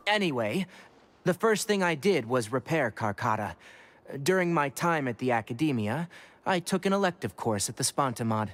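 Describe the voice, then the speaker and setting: An actor playing a young, stern forest ranger and scholar in a video game, speaking in a dry, precise, lecturing way. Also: male voice, medium pitch.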